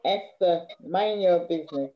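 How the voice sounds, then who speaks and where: A woman's voice: lowish voice, unhurried, lightly acted. Woman reading as stern Caribbean older sister, muffled. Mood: stern, dry. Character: bookish, stern, matter-of-fact older sister in Barbados. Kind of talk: rehearsed play reading. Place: indoor room at home, on video call. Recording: dull video call audio.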